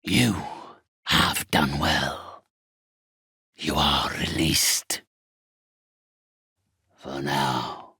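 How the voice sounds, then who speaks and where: Ancient undead necromancer for a fantasy game: very husky, gravelly, pompous, mercenary and menacing. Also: male voice, extremely low pitch, bright, forceful tone, dry recording, clean studio sound, full character voice. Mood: solemn, haughty. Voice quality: raspy, hoarse. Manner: pompous, formal, deliberate. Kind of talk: theatrical video game character acting.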